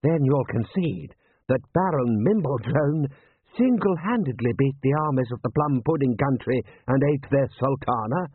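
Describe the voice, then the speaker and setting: A man's voice: mid-range voice, animated, normal speed, breathy edge, pitched above the performer's own voice. A man voicing a pompous, blustering aristocratic army commander with haughty, repetitive speech in an audiobook. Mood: indignant, insistent. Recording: thin, band-limited, phone-like sound.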